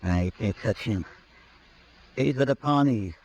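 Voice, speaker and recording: A man's voice: lowish voice, lively intonation, measured pace, darkish tone, low-quality recording. An actor playing a desperate, cornered gun smuggler in a 1950s radio drama, defiant and edgy, his voice climbing when he is pushed. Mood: scheming. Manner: edgy, desperate, voice rising under pressure.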